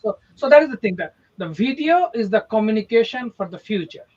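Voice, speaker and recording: A man's voice: sits high, lively intonation, brisk, darkish tone, dull audio. A man from India with an Indian accent, a real estate agent hosting a casual motivational chat, speaking emphatically and often checking in with "okay?".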